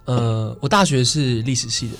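A man's voice: mid-range voice, moderate pace, a bit bright. A young man with a Taiwanese Mandarin accent chatting casually as an interview guest. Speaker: guest, stage singer and actor. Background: music under the talk.

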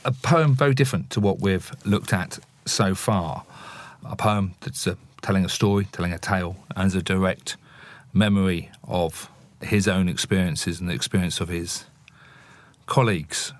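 A man with a low voice presents a podcast in a conversational style. He explains things reflectively, and the recording is close and dry with no room sound. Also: moderate pace, no echo. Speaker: podcast host.

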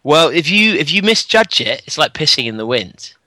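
A man's voice: on the high side, sing-song, moderate pace, pushed and bright, no echo. A man co-hosting a podcast, frankly explaining things and laughing easily. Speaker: podcast co-host. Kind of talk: casual chat.

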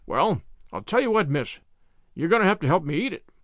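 A man voicing a brusque but kindly old farmer in a storybook reading, brisk, blunt and folksy. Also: fairly high pitch, big pitch swings, fairly bright tone, dry recording, studio quality. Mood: pleased.

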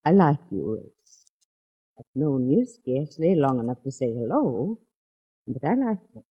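An actor playing an earnest doctor and family friend who speaks carefully and gravely in 1940s radio drama. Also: male voice, medium pitch, sing-song, unhurried. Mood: grave warning. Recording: muffled old 1940s radio recording.

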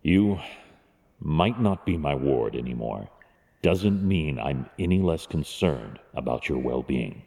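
Extremely low male voice, gravelly, stern brooding vigilante, clipped commands, audio drama, long reverb. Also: animated, slow, mellow, heavily acted. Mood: grave, concerned. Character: brooding, stern adult male masked vigilante and wealthy guardian. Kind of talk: fan audio drama acting.

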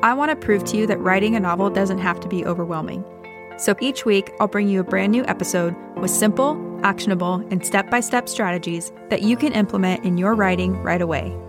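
Slightly hoarse woman podcast host. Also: medium pitch, brisk, music in the background. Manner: upbeat, clear step-by-step explaining. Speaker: podcast host and writing coach. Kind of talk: scripted podcast teaching.

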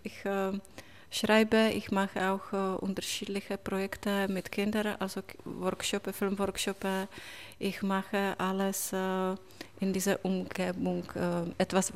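A Czech woman answering interview questions in a learned second language, pausing often with fillers and broken grammar. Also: mid-range voice. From Czech Republic. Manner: hesitant, many pauses and fillers, broken grammar. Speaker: writer, interview guest.

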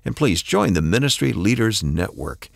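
A man co-hosting the show, reading an announcement with smooth announcer delivery. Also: lowish voice, very animated, normal speed, no room sound, clean studio sound. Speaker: co-host.

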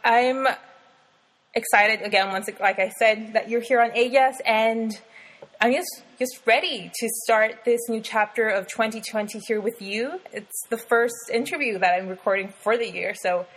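A woman's voice: a little high, normal speed, fairly bright tone, big-room sound, rough recording. A woman hosting an interview podcast, enthusiastic, asking long, winding questions. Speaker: podcast host.

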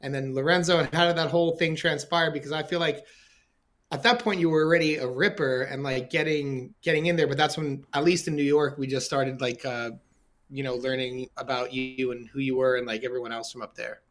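A man's voice: medium pitch, fairly even intonation, a little fast, fairly bright tone. A man hosting a podcast, chatting casually and asking questions in a rambling, conversational way. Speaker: podcast host.

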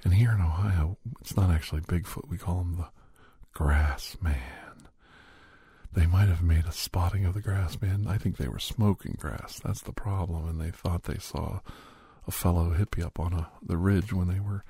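A middle-aged man hosting a whispered ASMR roleplay, chatting softly and gently teasing the listener as a caregiver. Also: low voice, steady tone, normal speed, husky, no echo, clean studio sound. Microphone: close microphone.

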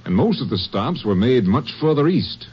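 An actor playing a grave, businesslike Texas Ranger captain with a clipped, matter-of-fact manner in a 1950s western radio drama. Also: male voice, medium pitch, very animated, normal speed, husky. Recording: dull, faintly noisy old radio recording.

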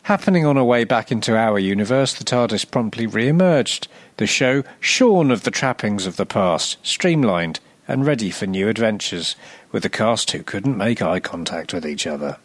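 A man reading a scripted, humorous narration as a podcast presenter, with a wry, deadpan, sardonic delivery. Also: on the low side, normal speed, no echo.

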